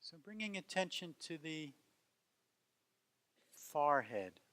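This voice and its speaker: A man leading a guided meditation as a teacher, speaking gently in unhurried phrases with long pauses between them. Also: fairly high pitch, sing-song.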